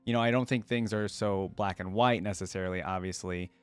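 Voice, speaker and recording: A man's voice: low-pitched, fairly even intonation, a little fast, clean tone, faint music behind. A man hosting a reaction video, talking casually and rambling as he thinks aloud. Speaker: YouTube reaction host. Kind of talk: casual reaction commentary to camera.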